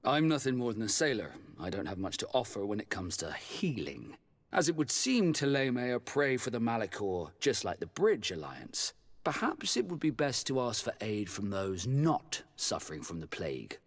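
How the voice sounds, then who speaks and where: An actor playing a modest, plain-spoken and skeptical sailor in a video game, with a frank manner. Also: male voice, lowish voice, very animated, moderate pace. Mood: wry, skeptical. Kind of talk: dramatic video game acting.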